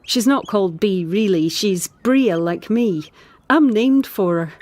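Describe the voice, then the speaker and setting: A sensitive, troubled Scottish island woman in an audio drama. Her delivery is earnest and expressive, at a middling pitch for a woman. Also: takes it slowly, no echo. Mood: guilty, subdued.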